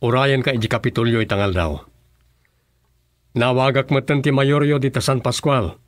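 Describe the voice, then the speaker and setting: Authoritative mid-pitched governor played by a man. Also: fairly even intonation, moderate pace, lightly acted. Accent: Filipino. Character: male governor, authoritative official giving instructions. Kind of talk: radio drama acting.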